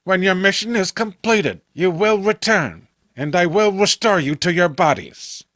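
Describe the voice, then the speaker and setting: A man voicing a sinister, scheming occult master with a formal, measured manner for a horror comic. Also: sits high, lively intonation, fairly bright tone, dry recording, clean studio sound, voice pushed up. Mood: impatient, commanding. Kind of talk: horror comic read-along acting.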